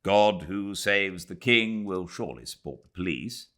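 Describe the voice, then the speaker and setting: A man from Britain who narrates audiobooks, chatting casually and then reading a classic novel aloud by his fireplace at home. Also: deep voice. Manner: relaxed, chatty intro then measured literary reading. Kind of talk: live fireside book reading.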